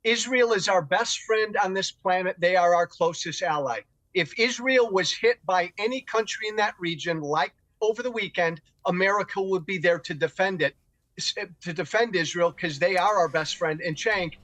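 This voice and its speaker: A man with a high voice, recorded dry and close, arguing emphatically as a debate guest. He presses his point and pushes back when interrupted. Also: normal speed, a bit bright, no echo. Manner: emphatic, talks over others. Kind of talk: televised debate.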